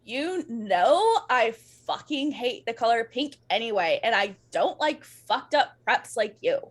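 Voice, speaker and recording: A woman's voice: a little high, expressive, measured pace. A woman voicing a snarky, melodramatic goth teenage girl in an amateur dramatic reading of fan fiction. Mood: scornful, angry.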